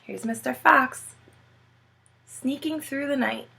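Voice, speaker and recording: A woman reading a children's book aloud as a teacher, expressive and emphatic, with brief asides to listeners. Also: sits high, takes it slowly, creaky, poor audio.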